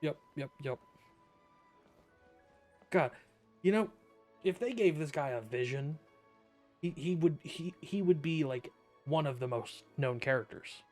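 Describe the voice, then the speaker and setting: A man's voice: fairly high pitch, expressive, a little slow, long pauses, darkish tone, faint music behind. A young man giving casual, joking and offhand commentary while streaming a video game.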